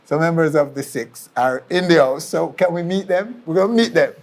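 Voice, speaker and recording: Higher-pitched Jamaican man hosting an interview. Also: moderate pace. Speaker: interview show host. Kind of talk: onstage-style television interview.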